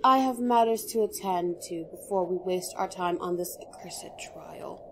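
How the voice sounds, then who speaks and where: A woman's voice: on the low side, measured pace, a little hiss or hum. A woman playing a haughty, scornful elven queen in a fantasy audio drama, with an imperious, disdainful delivery. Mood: grudging, menacing. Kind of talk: fantasy audio roleplay acting.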